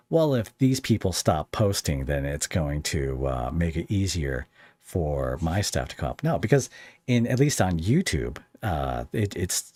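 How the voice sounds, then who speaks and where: A man's voice: extremely low pitch, a little mellow, slightly rough, no echo. A man hosting a casual podcast interview, talking informally in a rambling, hesitant way.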